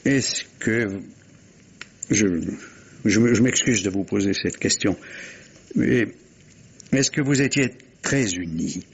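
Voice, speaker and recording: Un acteur qui joue, à la manière d'une dramatique policière radiophonique, un inspecteur de police poli, hésitant mais tenace, à la voix voilée. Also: male voice, lowish voice, slow, long pauses, breathy edge, full character voice. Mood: apologetic, hesitant. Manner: courteous, apologetic, hesitant with repeated words. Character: polite, persistent, hesitant male police inspector. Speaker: actor in a radio mystery play. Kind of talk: French radio mystery drama acting, 1950s manner. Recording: dull vintage radio recording.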